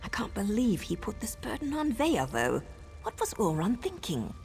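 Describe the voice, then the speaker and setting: An actress playing a pragmatic, principled dark elf assassin in a fantasy video game, sober and matter-of-fact. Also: female voice, fairly high pitch, wildly swooping pitch, normal speed, creaky, soft background music, heavily acted. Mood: wary, resolute.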